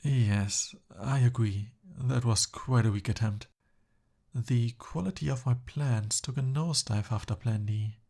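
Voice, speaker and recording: A man acts out a pompous young prince in an ASMR audio drama. His mid-pitched voice is a little husky and takes its time. He speaks in lofty, formal phrases, yet comes across flustered and pleading. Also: unhurried, warm tone. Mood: pompous, scheming. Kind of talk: ASMR roleplay voice acting.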